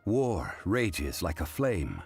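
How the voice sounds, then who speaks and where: A deep-voiced scholarly gentleman in a video game. He is calm, formal and dignified, with richly varied intonation over background music. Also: vocal fry, exaggerated voice. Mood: calm, appreciative. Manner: formal, eloquent, measured.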